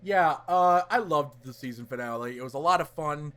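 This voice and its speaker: A man co-hosting a casual pop-culture podcast, talking in an excitable, hyperbolic fan style with plenty of swearing. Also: fairly high pitch, normal speed, smooth. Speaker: podcast co-host.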